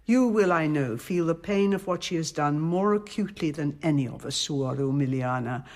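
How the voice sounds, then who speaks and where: An actress playing a composed, shrewd abbess in a radio drama, speaking in a measured, formal and authoritative way. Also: female voice, low-pitched, close to an everyday voice. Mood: grave, commanding.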